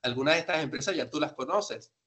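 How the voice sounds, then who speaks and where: A man's voice: fairly high pitch, fast, a bit bright. A man working as a sales trainer, presenting informally and instructively, emphatic and conversational, often asking and answering his own questions. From grew up outside Peru, now lives there.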